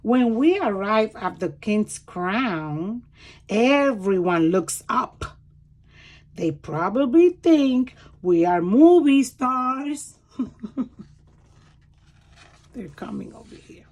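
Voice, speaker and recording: A woman reading a picture book aloud to children in an expressive, deliberate way, pausing to explain words and point things out. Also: fairly high pitch. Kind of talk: animated children's read-aloud with commentary asides.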